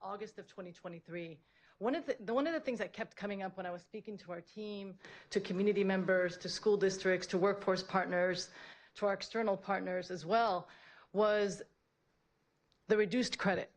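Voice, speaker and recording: A woman's voice: mid-range voice, normal speed. A woman giving a prepared public presentation as a school principal, earnest and repeating phrases for emphasis. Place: public board meeting room, at a podium.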